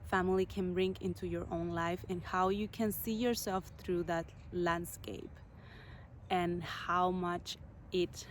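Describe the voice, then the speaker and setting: A woman from Chile hosting a podcast, telling her personal story slowly and reflectively, pausing to search for words. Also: on the low side, slight background noise. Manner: slow, reflective, searching for words with soft pauses. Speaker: podcast host.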